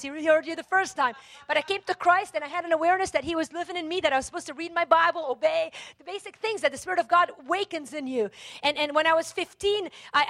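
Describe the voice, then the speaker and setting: A woman from Lebanon preaching a sermon, repeating phrases for emphasis and moving between hushed and forceful delivery. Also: high voice, no echo. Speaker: preacher, Bible teacher. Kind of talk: sermon with scripture reading and personal testimony.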